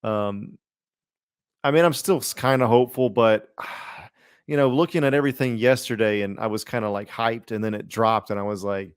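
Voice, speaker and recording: A man's voice: lowish voice, moderate pace, smooth, no echo. Rambling man chatting casually, close dry sound. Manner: rambling, informal, slangy. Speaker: podcast co-host. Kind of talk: casual chat about superhero films.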